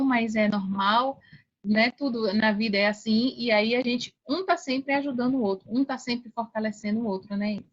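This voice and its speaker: A Brazilian woman speaking casually as an interview guest, recounting her experience. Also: a little high. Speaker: podcast guest, affiliate marketer. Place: online video call. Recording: dull, lo-fi call audio.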